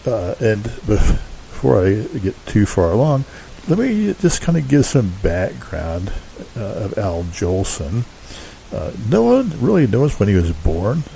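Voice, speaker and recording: A man hosting a show, giving casual, unscripted background commentary in a halting, rambling, hedging way. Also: lowish voice, very animated, vocal fry, warm tone, poor audio. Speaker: host introducing an old-time radio show. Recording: faint background hiss.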